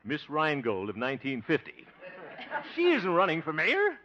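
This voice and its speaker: A fussy small-town man running for mayor, talks in a high, lively voice. He sounds easily flustered and exasperated. The old radio recording is dull with steady hiss. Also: moderate pace, exaggerated voice. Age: 30s. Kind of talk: 1950 radio comedy acting.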